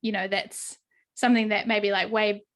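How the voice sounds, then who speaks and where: A woman's voice: mid-range voice, flat delivery, normal speed, fairly bright tone. A young adult woman with an Australian accent hosting a podcast interview, upbeat and chatty with frequent "um" and "like". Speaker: podcast host and brand coach.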